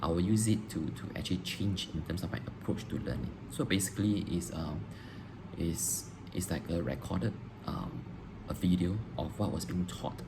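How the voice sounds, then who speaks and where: A man, a teacher answering interview questions on video, pausing often with ums and restarting phrases. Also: low voice. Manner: hesitant, frequent ums and restarts. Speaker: teacher applying for a job. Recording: noisy background hiss.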